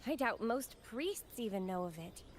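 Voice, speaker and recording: A woman voicing an earnest, hesitant young priestess in a video game, speaking gently. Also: fairly high pitch, lively intonation, moderate pace, slight background noise, natural voice. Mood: pensive. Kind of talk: video game character dialogue.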